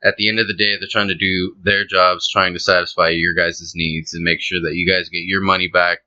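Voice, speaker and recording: A young man with a low, strikingly bright and forceful voice chats in a laid-back, joking way. He rambles a little, with fillers, as a podcast co-host. The recording is dry and dull, like a lo-fi home mic. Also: little pitch movement, brisk, no room sound. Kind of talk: casual podcast chat.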